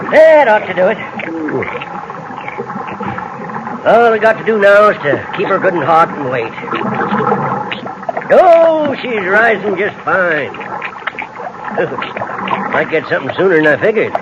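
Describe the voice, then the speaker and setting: Folksy drawling rainmaker, lively pitch. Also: male voice, measured pace, pauses often. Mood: pleased, confident. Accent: American rural western. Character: folksy, unflappable frontier rainmaker, cheerful and sly. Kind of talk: 1950s radio western acting. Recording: muffled, noisy old radio recording.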